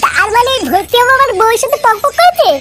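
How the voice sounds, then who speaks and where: Squeaky, blustering cartoon husband. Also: male voice, expressive, quick pace, over loud music. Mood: outraged. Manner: crude, blustering, swearing. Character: foul-mouthed, hot-tempered drunken husband and village club chief. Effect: pitched-up cartoon voice. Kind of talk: comic cartoon dialogue. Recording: very noisy cartoon soundtrack.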